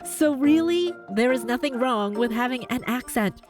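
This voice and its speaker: A Canadian woman with a neutral North American accent hosts a podcast as a voice coach. She sounds upbeat and encouraging, with her voice leaping upward to stress her points. Soft music plays faintly underneath. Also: expressive, moderate pace. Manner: upbeat, encouraging, with big jumps in pitch for emphasis. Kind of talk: scripted podcast monologue.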